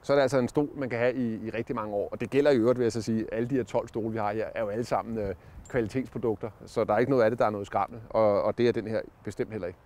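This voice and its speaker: A man's voice: fairly low pitch, steady tone, darkish tone. A man in his forties giving a casual product review on a campsite lawn, talking with many fillers and restarts into a lapel mic. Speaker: product tester.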